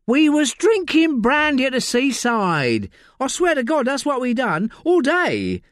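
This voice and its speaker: Give me a shrill, agitated young woman suspect, put on by a male narrator, dry close sound. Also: wildly swooping pitch, measured pace, a bit bright, dry recording, full character voice.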